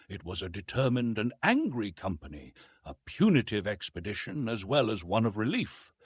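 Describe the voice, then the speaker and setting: A man narrating a classic novel in an expressive, literary storytelling style. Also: medium pitch, slightly rough, dry recording. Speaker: audiobook narrator.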